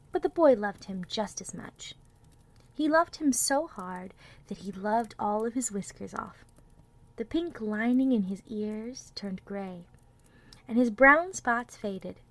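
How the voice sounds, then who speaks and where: A woman narrating a children's storybook expressively, with dramatic delivery that often drops toward a hush. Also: medium pitch, expressive, slow.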